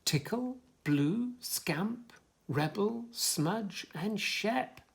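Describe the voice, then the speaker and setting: A man's voice: sits high, expressive, drawn-out words, gravelly, pitched above the performer's own voice, heavily acted. A man voicing a flustered but patient dog who explains himself in exclamations in a children's storybook. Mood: harried. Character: flustered, patient dog looking after many unruly puppies.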